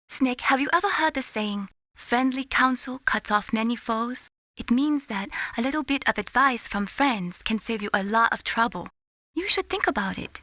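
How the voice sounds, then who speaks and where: Game casting: caring young female radio operator, slightly high and bright, earnest, gently chiding, very dry sound. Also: dry recording. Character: caring young Chinese-American woman, mission radio support operator. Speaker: mission support operator. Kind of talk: video game voice acting.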